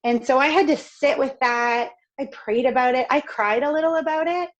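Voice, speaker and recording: A middle-aged woman from Canada with a raspy, crackly voice, talking in an outgoing, expressive way as a podcast guest. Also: sits high, moderate pace, lo-fi sound. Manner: outgoing, expressive, laughs often. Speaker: podcast guest, speaker and author. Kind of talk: upbeat conversational interview.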